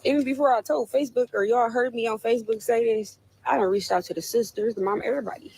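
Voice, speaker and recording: A woman's voice: on the high side, fast talker, a little mellow, husky, poor audio. A woman in a played clip, talking casually to the camera in a defiant, preachy manner about faith and spirits.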